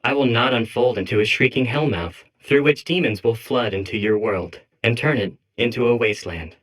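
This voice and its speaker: A man's voice: mid-range voice, moderate pace, a bit bright, natural voice. A man voicing a suspicious demonic machine core in a video game, speaking in formal, insistent, stilted announcements. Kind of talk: comedic video game character acting.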